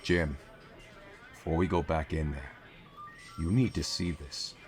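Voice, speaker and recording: Low, gruff, urgent detective played by a man. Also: steady tone, unhurried, soft background music. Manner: blunt, urgent. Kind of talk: dramatic audio drama acting.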